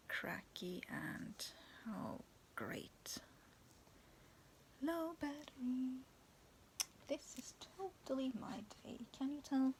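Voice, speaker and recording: A young woman vlogging in a soft, raspy whisper, talking to her viewers to camera and telling a spooky story, giggling now and then. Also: high voice. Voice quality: raspy, cracking voice. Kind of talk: whispered casual vlog with a ghost story. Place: dim bedroom with fairy lights. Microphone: phone or webcam at arm's length.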